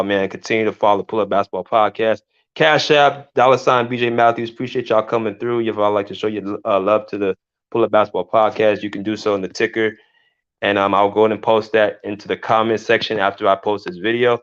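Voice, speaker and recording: A man hosting a basketball podcast in casual, relaxed livestream chat. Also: on the low side, smooth. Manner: relaxed, conversational, drawling "man" and "dude". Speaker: podcast host. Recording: muffled lo-fi livestream audio.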